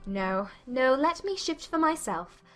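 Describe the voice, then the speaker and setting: A woman playing a playful, witty young gentlewoman in a dramatized period audiobook, arch and affectionately teasing. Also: high voice, lively intonation, takes it slowly, faint music behind, exaggerated voice.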